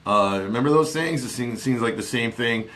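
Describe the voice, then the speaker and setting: A man's voice: medium pitch, normal speed, a bit bright. A man in his forties hosting a casual live stream, rambling and chatty with plenty of fillers, speaking into a desk studio mic in a small home office. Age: 40s. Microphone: studio mic on desk with headphones.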